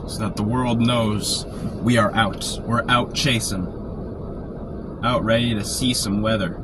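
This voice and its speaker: A man with a sore throat from a cold, keeping his voice quiet and low while chatting casually on a live stream from inside a parked car. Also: steady tone, unhurried. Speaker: storm chaser live-streaming. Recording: noisy car interior. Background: car and outdoor traffic noise.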